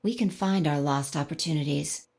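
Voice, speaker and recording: An actress playing a composed, knowing young woman in a video game, speaking in a measured, matter-of-fact, slightly teasing way. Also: low voice, creaky, a little mellow. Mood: earnest, reflective.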